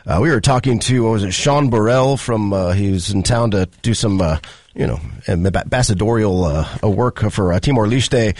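A man co-anchoring a morning news show, reading stories and chatting in a relaxed, rambling way. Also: fairly low pitch, normal speed, dry recording, clean studio sound. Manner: relaxed, rambling, filler-heavy in chat. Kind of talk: news reading and casual banter.

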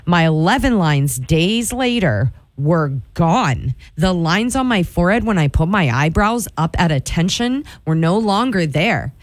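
A woman in her forties, a radio morning show host, reading a chatty, confiding ad in her own voice with playful, self-mocking swoops up in pitch, close to a studio microphone. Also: animated, unhurried, hardly any pauses, no room sound. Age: 40s.

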